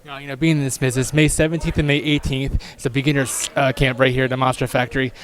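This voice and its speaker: A man's voice: mid-range voice, level tone, fast talker. A man hosting an interview show, speaking informally to guests and listeners in the style of a wrestling program.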